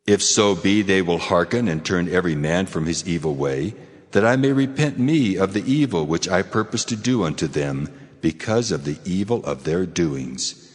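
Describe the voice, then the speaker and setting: A deep, commanding voice of God rolls out in vast reverb. The delivery is solemn and measured, as in a dramatized scripture audiobook. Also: male voice, lively intonation. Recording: long cavernous reverb on voice.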